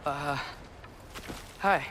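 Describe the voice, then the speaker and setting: An actor playing an earnest, hesitant teenage boy apprentice in a video game, speaking in a halting, understated way. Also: a little high, noisy background. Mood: uncertain. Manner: halting, understated, a little unsure. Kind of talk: naturalistic video game acting. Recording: music behind the voice.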